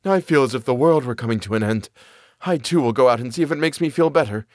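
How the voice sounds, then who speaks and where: A man's voice: mid-range voice, lively intonation, fast talker, no echo, clean studio sound, lightly acted. A man voicing an anxious, guilt-ridden wicked mother in a fairy tale, fretful and dramatic. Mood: terrified.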